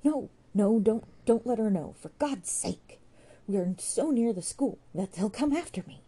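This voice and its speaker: A woman's voice: a little high, lively intonation, measured pace, dark tone, slightly rough, voice pushed up. A woman voicing a young woman who is cold, frail and anxious, speaking in a pleading, shivering way in a storybook reading. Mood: frightened.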